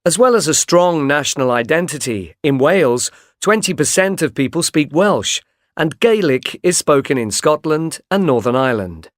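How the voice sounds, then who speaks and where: A man reading a course text in steady, informative scripted narration. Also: a little high, moderate pace, no echo, clean studio sound.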